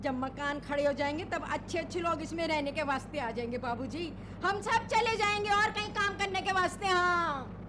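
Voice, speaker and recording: A woman's voice: very high-pitched, moderate pace, noisy background. An actress playing a feisty, brazen labourer woman, talking back sassily in a radio drama. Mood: mocking, matter-of-fact. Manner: sassy, back-talking, colloquial.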